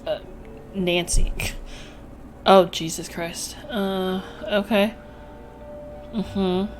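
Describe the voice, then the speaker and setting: A woman's voice: mid-range voice, fairly even intonation, extremely slow, slight background noise, low-quality recording. A young woman with a slight Filipino accent chatting casually and self-deprecatingly while playing a game. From Philippines. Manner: rambling, self-deprecating, stumbling over words. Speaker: gaming YouTuber. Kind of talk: casual let's-play commentary.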